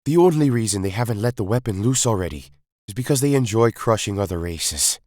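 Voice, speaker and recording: A man's voice: on the low side, expressive, fairly quick, darkish tone, no echo, studio quality, voice pushed up, natural voice. A man voicing a grave, emphatic Time Lord hero in an audio story, urgent and commanding.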